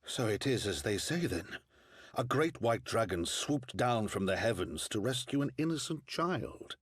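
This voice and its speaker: A low-voiced, brooding nobleman, grave and sceptical. The delivery is formal and rhetorical, recorded dry for a fantasy game cutscene. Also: sing-song, measured pace, breathy edge, dry recording, full character voice.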